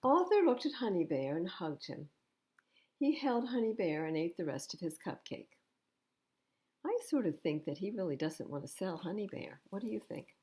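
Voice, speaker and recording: A woman's voice: lowish voice, very animated, moderate pace, darkish tone. A woman reading a storybook aloud to children as a storytime host, with chatty asides. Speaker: library storytime reader.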